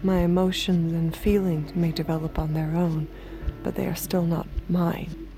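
Music plays under a slightly low, hushed female voice. She is a haunted android girlfriend confessing her story in an intimate audio drama, often sinking toward a whisper. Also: flat delivery, measured pace. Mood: sorrowful, confessional. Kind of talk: intimate first-person audio drama narration and dialogue. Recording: music behind the voice.

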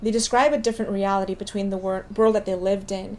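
Woman, interviewer, warm mellow voice, long questions. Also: medium pitch, fairly even intonation, quick pace. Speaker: interviewer, host.

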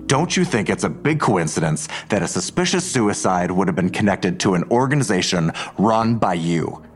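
A menacing cop in an audio drama, really low husky voice, accusatory, faint music behind. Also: male voice, sing-song, normal speed, full character voice. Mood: cold, probing. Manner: pressing, accusatory, pointed questioning. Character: menacing, suspicious male police detective interrogating a woman.

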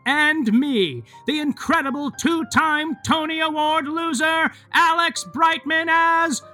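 A man's voice: very high voice, steady tone, slow pace, sharp tone, soft background music, heavily acted. A man playing a mock-grandiose, tongue-in-cheek narrator of an audio soap opera, delivering scripted narration theatrically. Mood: grandly grateful. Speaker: narrator reading recap and credits.

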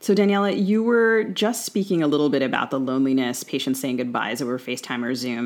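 A woman's voice: rumbling low, fast talker. A woman speaks as a podcast interviewer. Her delivery is conversational, setting up a topic and then putting open questions to the guest.

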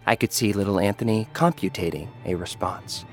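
Male narrator, low and deadpan, first-person sci-fi tale over light music. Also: a little fast.

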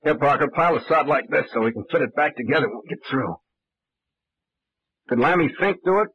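An actor playing a shrewd, commanding young investigator in an old-time radio mystery, speaking tersely with dry, probing sarcasm. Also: male voice, on the high side, animated, a little fast, breathy edge. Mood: brisk, commanding. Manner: terse, probing, dryly sardonic. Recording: muffled vintage radio drama recording.

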